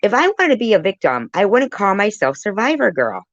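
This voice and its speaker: A woman's voice: mid-range voice, sing-song, a little fast, dry recording. A blunt, combative woman doing reaction commentary, talking back and swearing freely, in a dull, lo-fi recording. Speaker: commentary channel creator.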